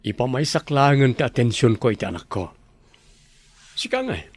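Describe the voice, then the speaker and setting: Confident Ilocano single dad. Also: male voice, medium pitch, very animated, moderate pace, no room sound. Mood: breezy, teasing. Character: boastful but devoted single father, elder brother. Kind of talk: Ilocano radio drama acting.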